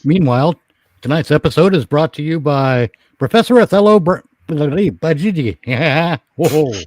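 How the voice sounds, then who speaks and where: Joking man with a lively, highish voice. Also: measured pace, no room sound. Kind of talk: casual banter with mock ad reads. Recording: faint background noise on voice chat.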